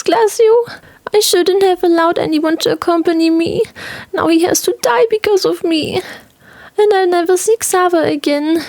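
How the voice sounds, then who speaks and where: A woman's voice: extremely high pitch, a little mellow, no room sound, voice pushed up. A woman voicing a desperate, tearful young girl in a fantasy audio play, pleading and anguished.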